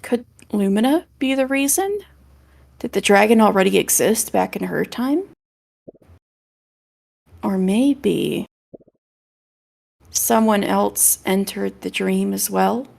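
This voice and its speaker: A woman's voice: medium pitch, slow, creaky, long pauses, a little mellow. A woman streaming a video game, chatting casually and reading story dialogue aloud, with game music behind her.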